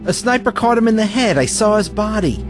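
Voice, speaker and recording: A man's voice: sits high, animated, brisk. A man playing a rattled young American private. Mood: urgent. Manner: urgent, insistent, rattled. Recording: audio drama with music underneath.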